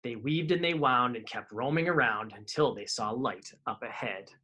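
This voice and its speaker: A man's voice: mid-range voice, moderate pace, sharp tone, slightly rough. A man reading children's picture books aloud in a live storytime, with informal asides to his young listeners.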